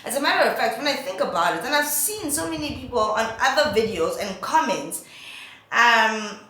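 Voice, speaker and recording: A woman's voice: fairly high pitch, expressive, moderate pace, sharp tone, very echoey, low-quality recording. A woman vlogger, nasal from a cold, giving candid opinionated commentary full of rhetorical questions.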